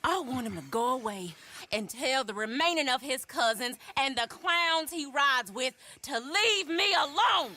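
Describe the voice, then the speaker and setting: A woman's voice: high-pitched, animated, unhurried, sharp tone, heavily acted. A woman playing a spirited, plain-spoken young American frontier woman in a game drama. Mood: defiant, angry. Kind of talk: dramatic video game acting.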